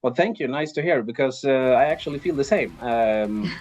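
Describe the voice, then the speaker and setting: A man from Sweden with a Swedish accent, answering interview questions casually as a band's drummer, often pausing to think. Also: mid-range voice, lively intonation, darkish tone, highs cut off. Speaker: band drummer, interview guest.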